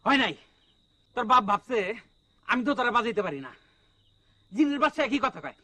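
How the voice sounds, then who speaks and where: A man's voice: very high voice, expressive, fairly quick, sharp tone, slight background noise, exaggerated voice. An actor playing a good-natured, half-mad village man in a comic drama, rambling earnestly in rural Bangladeshi Bengali. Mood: wounded.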